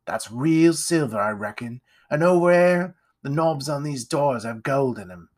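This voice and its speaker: A man voicing a greedy, scheming poor mother who speaks in a low, conspiratorial way in a storybook reading. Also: animated, unhurried, darkish tone. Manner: low, conspiratorial, common speech.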